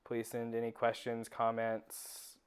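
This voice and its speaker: A woman's voice: a bass voice, completely flat delivery, slow pace, clean tone. A woman with a light Southern US accent co-hosting a podcast, chatting casually and laughing often. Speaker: podcast co-host. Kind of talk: casual chat.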